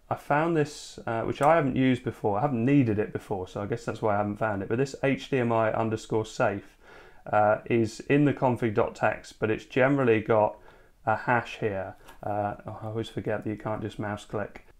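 A man's voice: on the low side, creaky. A man casually narrating a hands-on tech demo at home, thinking aloud with plenty of fillers. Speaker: tech video host. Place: home room, at a dining table.

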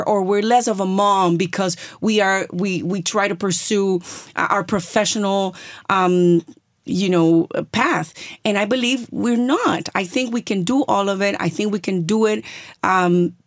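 A woman's voice: mid-range voice, dry recording, studio quality. A middle-aged woman from the Dominican Republic with a Dominican accent, speaking earnestly and encouragingly as a podcast guest, repeating phrases for emphasis. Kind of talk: podcast interview, mixing Spanish and English.

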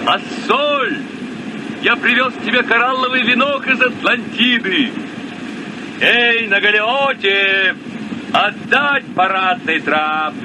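An actor playing a bold, jubilant seafarer calling out slowly in an old radio play. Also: male voice, extremely high pitch, animated, full character voice. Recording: noisy old radio recording.

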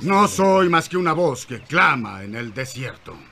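A man playing a fervent desert prophet in an animated Bible film, declaiming commands like a preacher. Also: a little high, unhurried, creaky, full character voice. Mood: solemn, proclaiming.

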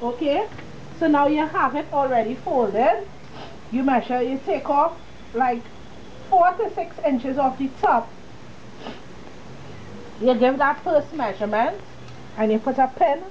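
A fairly high-voiced woman with a cold gives a casual step-by-step sewing tutorial. She talks straight to the viewer, chatty and easygoing, with a faint hum of room noise behind her. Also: expressive, measured pace, pauses often, a little mellow, muffled recording. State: has a cold, sniffling.

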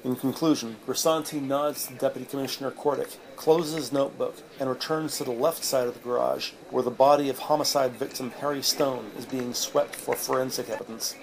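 A man narrating a detective audio drama in measured, descriptive present-tense storytelling. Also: mid-range voice, steady tone, vocal fry, crowd noise, rough recording. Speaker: podcast narrator. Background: music.

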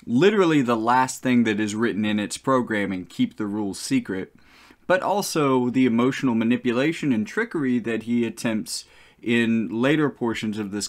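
A man giving opinionated, informal, off-the-cuff voice-over commentary. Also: lowish voice.

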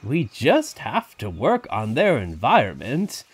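An excitable elderly park owner with a grandfatherly air, as a man acts him out. His pitch swoops all over the place, and he draws his words out slowly. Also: slightly rough, exaggerated voice. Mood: persuasive. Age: older. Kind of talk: fan audio drama acting.

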